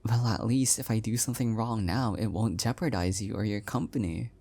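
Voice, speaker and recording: Soft-spoken, hesitant, oblivious young husband played by a man. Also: fairly low pitch, fairly quick, gravelly. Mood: affectionate, modest. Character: adorkable, oblivious, self-deprecating young husband. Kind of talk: intimate audio roleplay, one-sided conversation.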